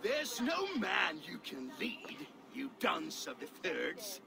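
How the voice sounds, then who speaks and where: An actor playing a boastful, scornful Danish Viking steward, gravelly and theatrical in his taunts, in a video game cutscene. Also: high voice, huge pitch swings, takes it slowly, full character voice. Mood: scornful boasting. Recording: game ambience, clear background noise.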